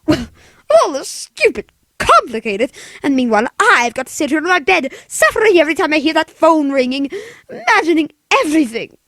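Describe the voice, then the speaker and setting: A woman's voice: very animated, a little fast, a bit bright, no room sound. A woman playing a nervous, demanding, self-pitying invalid wife in a melodramatic 1940s-style radio play. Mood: indignant, nervous. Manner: imperious, melodramatic, shrill, fretful.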